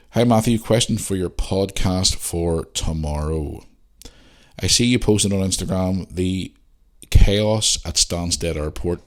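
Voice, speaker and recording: A man with a Northern Irish accent hosting a podcast, chatting casually and informally as he goes through listener messages. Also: deep voice. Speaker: podcast host answering listener questions. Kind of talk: casual chat and reading out messages.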